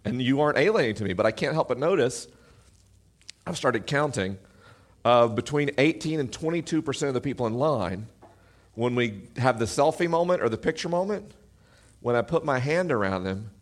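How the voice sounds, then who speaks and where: A man with a deep, booming voice, hosting a live podcast event and talking to his audience from the stage in an animated, self-deprecating way through an amplified mic. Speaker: podcast host.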